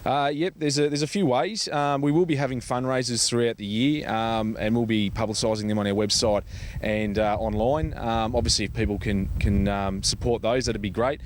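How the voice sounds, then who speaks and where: A man with an Australian accent answering interview questions in a halting, conversational way, often repeating words. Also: mid-range voice, steady tone, a little hiss or hum. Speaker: charity foundation representative being interviewed.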